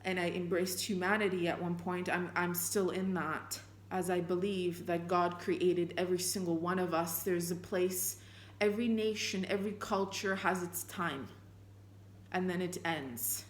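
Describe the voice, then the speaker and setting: A fairly low-pitched woman whose first language is Armenian, speaking in an earnest, unhurried way. She alternates between reading scripture aloud and musing on faith, with fillers and restarts. Her voice rings around a noticeably echoey room. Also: fairly even intonation. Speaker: spiritual vlogger. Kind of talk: reflective talk mixed with reading scripture aloud.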